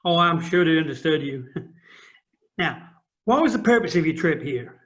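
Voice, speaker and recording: An actor in an audio play playing a helpful American official, reassuring and gently joking. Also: male voice, a little high, big pitch swings, normal speed. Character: helpful, genial American official living in Austria.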